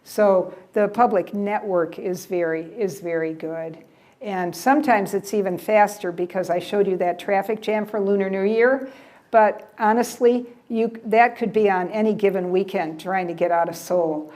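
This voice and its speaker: Chatty older American woman, mellow voice. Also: mid-range voice, normal speed. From United States. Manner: chatty, repeats words, trails off with "yeah". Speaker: guest speaker at a library travel talk. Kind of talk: casual presentation with audience questions. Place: library event room.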